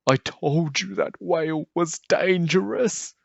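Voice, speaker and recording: Man voicing a high-pitched, grumbling storybook fish. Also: slow, creaky, no echo, highs cut off, full character voice. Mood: wary, accusing. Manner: grumbling, alarmist. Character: irritable, suspicious male fish with jagged fins.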